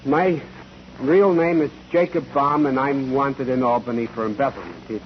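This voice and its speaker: An actor playing a nervous, evasive bookkeeper who stammers and repeats himself on the stand in a 1940s radio courtroom drama. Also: male voice, medium pitch, normal speed, natural voice. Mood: defeated. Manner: stammering, repeating words, jittery. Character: nervous, evasive bookkeeper hiding a criminal past. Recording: muffled, noisy old 1940s radio recording.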